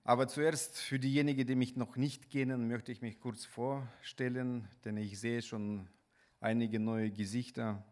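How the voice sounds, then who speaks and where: A man from Ukraine with a Ukrainian accent, preaching in a halting, deliberate manner with pauses mid-sentence. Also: medium pitch, level tone, darkish tone. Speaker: guest preacher. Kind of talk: sermon with scripture reading.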